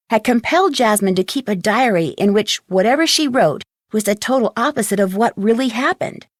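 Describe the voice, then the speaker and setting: A woman's voice: medium pitch, no room sound, clean studio sound, natural voice. A woman with an American accent playing a witty teenage girl detective, telling how a case ended in wry, conversational game narration. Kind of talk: scripted game epilogue narration.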